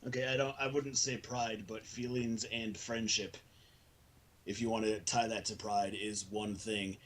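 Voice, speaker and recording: Husky-voiced man in a blunt argument. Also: fairly low pitch, level tone, a little slow, a bit bright. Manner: earnest, blunt, profane. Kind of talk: heated informal argument.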